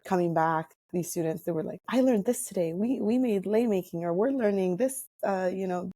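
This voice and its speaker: A woman's voice: medium pitch, moderate pace, mellow, no echo, clean studio sound. A woman from Hawaii with an American accent, chatting as an interview guest and retelling personal stories with quoted dialogue. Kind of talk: casual personal storytelling in conversation.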